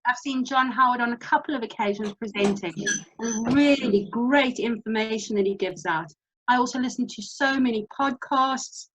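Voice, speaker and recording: A woman's voice: on the high side, a bit bright, rough recording. South African woman giving a practical talk. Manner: brisk, instructive and practical. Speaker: presenter. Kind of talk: presentation talk.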